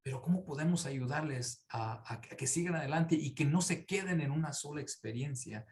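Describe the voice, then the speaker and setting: A man's voice: on the high side, moderate pace, darkish tone. A man moderating a panel discussion, asking questions hesitantly and often repeating words while he thinks.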